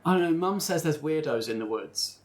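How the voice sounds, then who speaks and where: A performer with a creaky voice playing a discontented, reluctant local teenager in improvised roleplay, rambling and hesitant. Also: mid-range voice, expressive, brisk, crowd noise, lightly acted. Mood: reluctant, defensive. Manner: rambling, hesitant, full of "like" and "you know".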